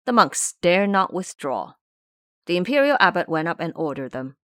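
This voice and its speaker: A woman reads scripted audio drama narration in a fairly low voice. Her delivery is dramatic and expressive, with wide pitch movement. The recording is very dry and close. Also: normal speed, no echo.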